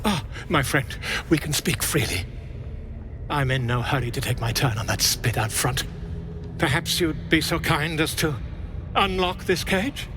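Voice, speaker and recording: An actor playing a pompous, self-promoting author in a fantasy game, florid, grandiose and ingratiating. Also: male voice, a little high, measured pace, background noise, natural voice. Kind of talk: game character acting.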